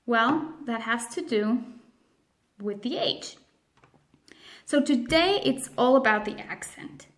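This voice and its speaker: A woman with a slightly high voice speaks English with a Swiss German accent; her first languages are Spanish and Swiss German. She teaches at an unhurried pace, pausing often and leaning on her key words. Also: animated. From grew up on the other side of the globe. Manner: slow, careful, emphatic delivery with frequent pauses. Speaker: language educator. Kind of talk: explainer video lesson.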